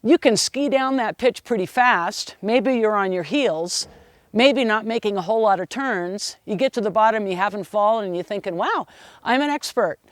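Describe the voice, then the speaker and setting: A middle-aged woman ski instructor giving an opinionated lesson on equipment in a strong, loud, emphatic voice. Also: medium pitch, lively intonation, moderate pace, dry recording. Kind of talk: instructional video talk.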